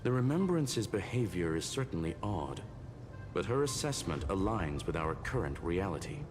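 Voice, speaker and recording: Game casting: a coolly logical machine intelligence, very low, clipped and precise. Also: male voice, sing-song, moderate pace, darkish tone. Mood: analytical. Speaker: game character. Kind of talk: video game voice acting. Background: game music.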